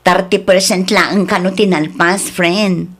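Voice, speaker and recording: A woman's voice: fairly low pitch, steady tone, slow pace. An actress playing a caring, hopeful young woman in a radio drama, earnest and affectionate.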